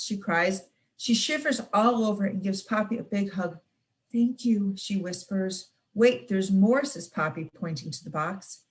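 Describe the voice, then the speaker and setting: Young woman reading a picture book aloud. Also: medium pitch. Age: young adult. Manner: expressive read-aloud, playful with sound words. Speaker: student reader.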